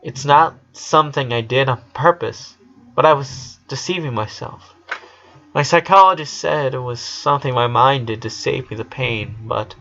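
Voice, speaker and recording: A man reading the part of a grieving young girl in a story, halting and repeating words as if choked up. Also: medium pitch, fairly bright tone, faint music behind, close to an everyday voice. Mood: quiet sorrow. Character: grieving young girl confessing her sorrow. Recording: dull lo-fi sound.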